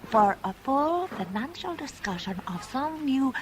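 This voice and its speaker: An actress playing a composed, businesslike woman in a radio drama, speaking in a measured, precise and discreet way. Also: high-pitched, big pitch swings. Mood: discreet, intent. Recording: noisy old radio drama recording.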